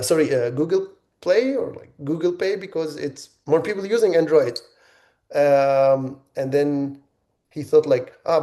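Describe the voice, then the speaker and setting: Man from egypt, webinar host, mellow, halting delivery. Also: medium pitch. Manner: halting, many restarts and fillers. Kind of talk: webinar presentation.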